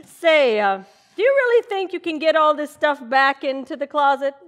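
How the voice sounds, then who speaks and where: A woman's voice: high voice, big pitch swings, moderate pace. A woman playing a long-suffering, sensible wife in an old-time radio comedy, chiding in a dry, folksy way. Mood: weary, chiding.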